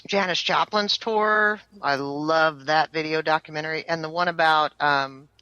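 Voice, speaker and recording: An American singer-songwriter in her fifties chats through an interview, rambling along with plenty of fillers. Her voice is bright and forward. The sound is dry and close. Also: low voice, fairly even intonation, moderate pace, dry recording, lo-fi sound. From United States. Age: 50s. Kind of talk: casual interview chat.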